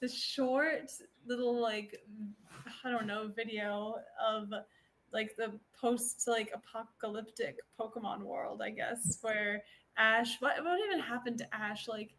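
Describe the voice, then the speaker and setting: A woman's voice: on the high side, steady tone, unhurried, fairly bright tone, low-quality recording. A woman chatting casually and informally as a podcast co-host. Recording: clearly audible background noise.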